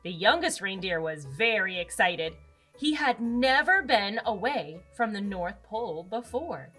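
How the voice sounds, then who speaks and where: A woman's voice: medium pitch, sing-song, a little slow, pushed and bright, breathy edge, faint music behind. A woman with an American accent, hosting a children's read-aloud and telling a picture-book story expressively to young listeners. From Arizona, United States.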